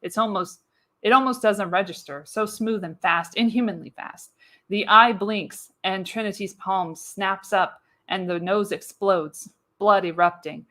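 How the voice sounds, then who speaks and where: Female narrator, mid-pitched, scripted live reading, dramatic storytelling emphasis, radio play. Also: a little slow, pushed and bright. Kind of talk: scripted narration, live read of screenplay action.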